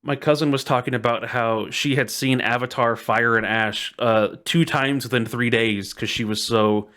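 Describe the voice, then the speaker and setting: A man's voice: mid-range voice, fairly even intonation, moderate pace, sharp tone. A man hosting a casual podcast chat in a playful, jokingly ceremonious way. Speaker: podcast host.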